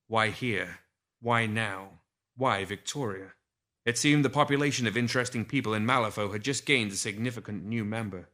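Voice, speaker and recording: Bright, low-voiced man reading fiction. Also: moderate pace. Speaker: story reader. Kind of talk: audiobook fiction narration.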